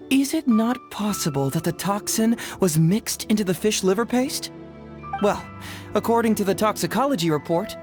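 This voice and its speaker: A confident young detective from a video game. He talks in a smooth, teasing, glib way, laying out his reasoning with wry assurance, while music plays clearly behind him. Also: high-pitched. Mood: assured, probing. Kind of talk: English video game voice acting. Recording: studio voice under game music. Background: game background music.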